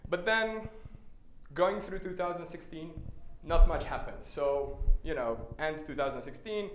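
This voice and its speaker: Casting note: man from Serbia, tech meetup speaker, middle pitch, casual and wry, echoey room with faint noise. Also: a little slow, fairly bright tone. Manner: casual, many "uh" fillers, wry asides. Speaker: conference speaker. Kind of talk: conference talk with slides. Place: meetup stage, indoors.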